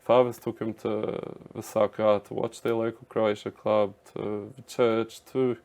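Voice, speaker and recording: A man's voice: lowish voice, level tone, measured pace, creaky, darkish tone. A man in his thirties from Canada, a podcast guest talking earnestly in casual chat, with many filler words. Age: 30s. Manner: earnest, lots of "you know" and "um" fillers.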